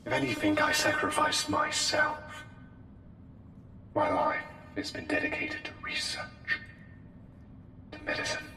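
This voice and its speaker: A man playing an eerily polite, menacing plague doctor in a formal, haughty ASMR roleplay, with deliberate, halting phrasing. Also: very high-pitched, huge pitch swings, exaggerated voice. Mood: defensive, grave. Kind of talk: slow, intimate ASMR roleplay monologue. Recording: long cavernous reverb, music underneath. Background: music.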